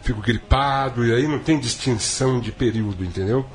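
A man co-hosting a podcast, telling a sardonic, rambling story in casual comedic chat. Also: fairly quick. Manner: rambling, sardonic storytelling with big swings in pitch. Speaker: podcast co-host.

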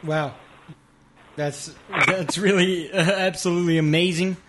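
Higher-voiced male co-host with faint ambience. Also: steady tone, a little slow, fairly bright tone, slight background noise. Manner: hesitant, filler-heavy. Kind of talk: casual interview questions.

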